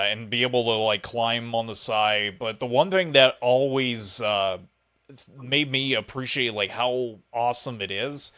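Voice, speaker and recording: A man's voice: medium pitch, sharp tone, clear, resonant tone. A man hosting a casual interview, talking informally and enthusiastically to his guest. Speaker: podcast host interviewing a guest.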